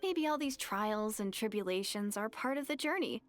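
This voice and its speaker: A woman voicing a shy, earnest young village girl who speaks softly and hesitantly. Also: medium pitch, animated, moderate pace, faint music behind, lightly acted. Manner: soft-spoken, hesitant and bashful. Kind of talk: game dialogue.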